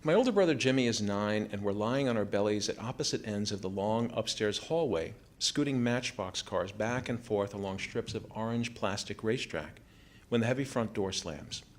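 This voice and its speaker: A man in his fifties with an American accent reads a scripted first-person story live in a theater, in a measured storytelling way. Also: fairly low pitch, expressive. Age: 50s. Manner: measured storytelling delivery, acting out quoted family dialogue. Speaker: writer reading his own personal essay. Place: small theater stage before a live audience. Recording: live theater recording with background noise. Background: room noise and ambience.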